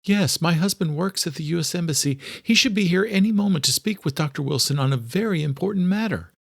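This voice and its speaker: A man's voice: a little high, lively intonation, fast, gravelly, dry recording, studio quality, pitched above the performer's own voice. A man voicing an earnest, polite, anxious woman doctor in an audio thriller.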